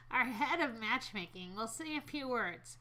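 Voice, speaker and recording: A woman's voice: on the high side, animated, unhurried, sharp tone. A young woman reading a story game aloud with a friend, playful and giggly between lines.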